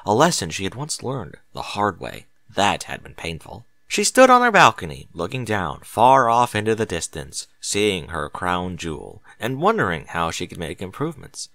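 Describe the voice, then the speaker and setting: A man's voice: low-pitched, wildly swooping pitch, moderate pace, hardly any pauses, pushed and bright, no room sound. A man reading a story aloud as scripted narration, with dramatic, emphatic delivery. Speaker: audiobook narrator of fan fiction.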